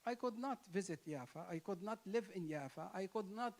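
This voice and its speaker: A man's voice: high voice, animated, a little fast, darkish tone. A man with a Palestinian Arabic accent speaks to an interfaith gathering in emphatic, impassioned English. He repeats phrases for force and lifts his voice to stress key words, his Arabic native tongue plain in the sound of his English. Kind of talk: impassioned speech.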